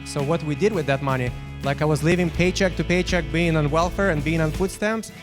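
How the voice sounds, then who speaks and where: A man with a Ukrainian accent and a somewhat high voice shares his personal testimony. He talks in a halting, emphatic way, as a business owner addressing a group. Guitar music plays clearly behind him.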